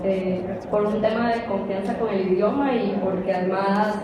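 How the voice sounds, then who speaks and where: A woman's voice: medium pitch, fairly even intonation, crowd noise. A woman in her twenties from Costa Rica with a Costa Rican Spanish accent, introducing herself earnestly on a conference panel. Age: 20s. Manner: rapid, fluent, earnest. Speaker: youth climate activist panelist. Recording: echoey hall, distant mic, background hum.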